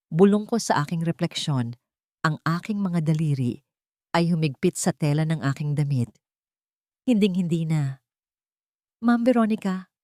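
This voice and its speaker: A woman narrates an audio melodrama from a script. Her voice sits a little low, and her delivery is measured, solemn and emotive. The sound is very dry, with no room around it. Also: a little mellow, no room sound.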